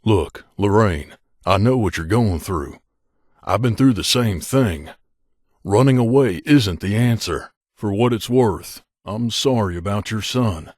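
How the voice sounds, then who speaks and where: A troubled wasteland drifter from a video game, acted by a man, with a lowish voice recorded close and dry. He is an earnest, plain-spoken companion who carries old guilt and talks as if confiding in a friend. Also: a little mellow, no echo. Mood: sympathetic. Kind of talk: video game voice acting, dramatic dialogue.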